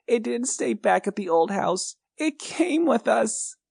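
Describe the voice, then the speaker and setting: A man's voice: extremely high pitch, very animated, measured pace, creaky, a little mellow, dry recording, studio quality, pitched above the performer's own voice, exaggerated voice. A man voicing a frightened little boy who pleads tearfully in a bedtime horror story. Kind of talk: storybook reading.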